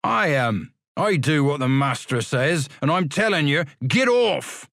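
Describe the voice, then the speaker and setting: Give me a man doing a gruff porter who growls, in a dry close recording. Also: medium pitch, very animated, unhurried, no room sound. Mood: surly. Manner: growling, dismissive. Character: gruff male workhouse porter, surly.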